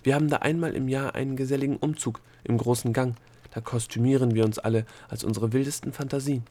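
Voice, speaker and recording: A haughty female creature of bureaucracy from a storybook, put on by a male reader. She is prim and formal, picking out her words in a measured way. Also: mid-range voice, fairly even intonation, mellow, close to an everyday voice. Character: cool, prim, bureaucratic female thought-creature, secretly coquettish. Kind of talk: storybook character dialogue.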